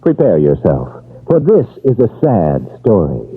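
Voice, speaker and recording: Low, mock-solemn male storyteller announcer. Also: huge pitch swings, unhurried, husky. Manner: solemn, tongue-in-cheek. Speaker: commercial announcer. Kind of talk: mock-dramatic storybook ad read. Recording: tinny old radio ad, loud music. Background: music.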